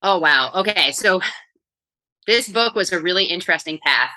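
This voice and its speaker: A middle-aged woman from New York, a coach and author, telling her story in a chatty, energetic way as a podcast guest. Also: on the low side, moderate pace, poor audio. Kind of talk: casual podcast interview.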